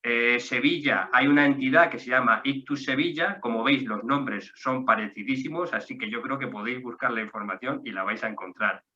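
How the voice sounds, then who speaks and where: Man, host, very bright tone, friendly explaining, spells names slowly, dull lo-fi audio. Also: medium pitch. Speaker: association staff member hosting the talk. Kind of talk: casual conversational presentation.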